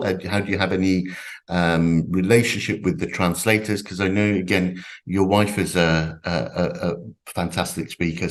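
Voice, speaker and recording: A man interviewing a novelist at a festival, asking long rambling questions full of fillers. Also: low-pitched. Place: stage at a literature festival.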